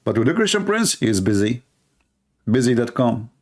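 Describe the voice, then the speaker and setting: A man's voice: medium pitch, sing-song, moderate pace. A man hosting a call-in debate show, talking in a sarcastic, rambling way. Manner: sarcastic, rambling, laughs at own jokes. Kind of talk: mocking call-in commentary.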